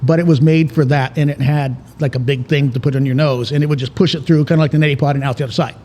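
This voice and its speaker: A man's voice: a little high, fairly even intonation, quick pace, darkish tone. A man chatting casually as a podcast co-host, telling stories in a joking, profane way. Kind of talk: casual bantering chat. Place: indoor cigar lounge. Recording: reverberant room, background noise.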